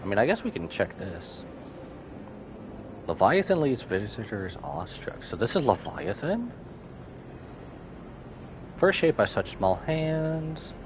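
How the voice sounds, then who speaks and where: A man's voice: medium pitch, very animated, slow, long pauses, background noise. A man live-streaming a game with casual commentary, musing aloud in short reactions over game music. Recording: music behind the voice.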